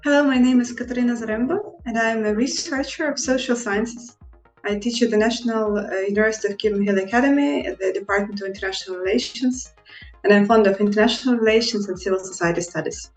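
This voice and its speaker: A woman researcher, giving measured academic answers in an interview with frequent pauses. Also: fairly high pitch, echoey room, faint music behind, low-quality recording. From Ukraine.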